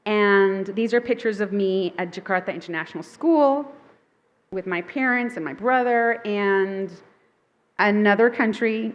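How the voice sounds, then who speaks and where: Woman author at a festival talk, chatty and upbeat, mid-pitched, many ums and restarts, first language Thai. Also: dull audio. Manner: upbeat and chatty, with frequent fillers and self-corrections. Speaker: picture book author giving a festival talk. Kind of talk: conversational presentation to a live audience.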